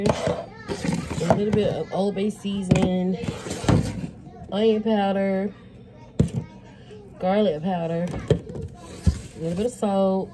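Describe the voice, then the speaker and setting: A woman's voice: medium pitch, very noisy. A woman vlogging from her home kitchen, talking casually through each cooking step with easy fillers. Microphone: camera on the counter facing her torso.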